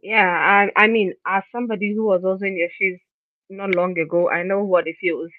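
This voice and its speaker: A woman's voice: mid-range voice, fairly even intonation, fairly quick, dry recording. A woman hosting an interview in a friendly, conversational way, stacking several follow-up questions together. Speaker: interviewer, host. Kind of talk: casual interview chat. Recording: dry tinny phone-like line.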